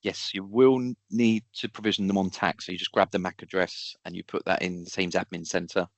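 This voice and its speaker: A man's voice: low voice, level tone, a little fast, no room sound. A man giving an informal expert explanation in a technical webinar, going step by step with practical examples. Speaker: technical expert answering audience questions.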